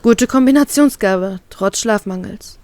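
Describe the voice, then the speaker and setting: A woman's voice: mid-range voice, a little slow, darkish tone, dry recording. Woman reading her story, dry sound. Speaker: fan fiction author and reader.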